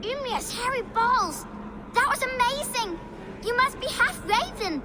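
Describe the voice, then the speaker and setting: A woman voicing a cheeky, bossy, mischievous child in a video game, with loud ambience behind. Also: very high-pitched, animated, measured pace, very noisy. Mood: awed.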